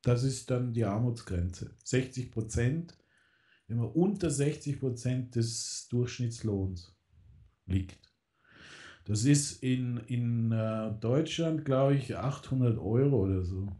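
A man's voice: medium pitch, takes it slowly, warm tone. A man in casual political radio talk, rambling with many fillers and restarts. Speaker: guest.

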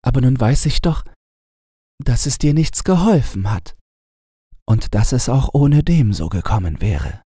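A tender young wife from a storybook, put on by a male narrator, speaking softly and affectionately. The voice is lifted well up, breathy and husky, with a dark, gentle color. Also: mid-range voice, big pitch swings, a little slow, no echo, studio quality, voice pushed up. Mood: tender, relieved. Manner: soft, affectionate, pleading. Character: tender, relieved young wife in a fairy tale.

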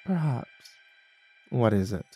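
A man voicing a seductive, predatory female demon in an audiobook, crooning and breathy with a slow, scornful, teasing menace. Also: mid-range voice, animated, mellow, faint music behind. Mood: haughty, teasing. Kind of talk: audiobook character voice.

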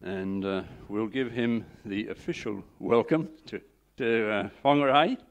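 Hesitant low-voiced man welcoming a guest. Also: unhurried. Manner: hesitant, full of uhs. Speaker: church host. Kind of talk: welcome announcement.

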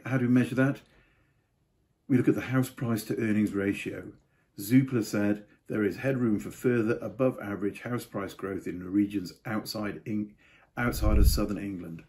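A man's voice: fairly low pitch, warm tone. An older man giving a casual market update to camera from a home study, with offhand asides. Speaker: property market commentator.

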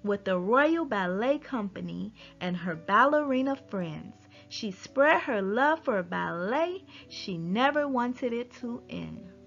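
Slow, lilting high-voiced woman storyteller. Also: vocal fry. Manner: expressive, deliberate storytelling delivery. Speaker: children's storybook narrator. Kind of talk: read-aloud rhyming children's story narration. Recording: dull lo-fi audio with music behind.

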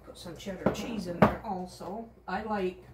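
A woman's voice: on the low side, steady tone, normal speed, very noisy. Middle-aged woman narrating her cooking from afar. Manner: talks to herself step by step, in short remarks. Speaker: home cook vlogger. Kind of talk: casual narration while cooking. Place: home kitchen, indoors. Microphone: camera across the counter. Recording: echoey kitchen from a distance. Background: clinking and chopping noises.

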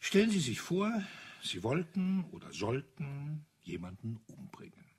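An actor playing a retired army colonel in a radio crime play, an older man with a husky, raspy voice and a blunt, musing manner. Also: on the high side, big pitch swings, a little slow, full character voice. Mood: provocative, sly. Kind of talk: 1980s German radio crime play acting.

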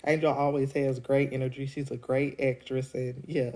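A deep-voiced woman, congested from a cold, chats through a review into a phone held at arm's length. Her tone is dark and mellow, and she talks loosely with plenty of ums and quick laughs. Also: flat delivery. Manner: chatty, laughs often, many ums. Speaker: show reviewer. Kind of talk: casual vlog review. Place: indoors at home.

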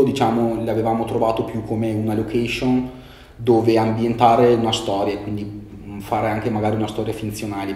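A young man in his twenties with a lowish, mellow voice answers casually. He searches for his words and restarts phrases as he goes. The room adds a roomy echo over a faint hiss. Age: 20s. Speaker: young filmmaker interviewee.

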